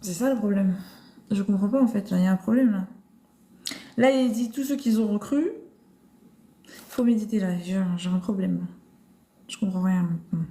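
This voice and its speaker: Woman host, live-stream Bible chat, mellow tone, halting and searching, echoey room. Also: medium pitch. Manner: thinks aloud with many restarts and fillers. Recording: roomy echo.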